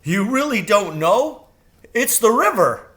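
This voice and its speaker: A man voicing an eager, cheerful young male crow in a storybook, excitable and given to boasting and stammering. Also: high-pitched, takes it slowly, voice pushed up, heavily acted. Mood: excited.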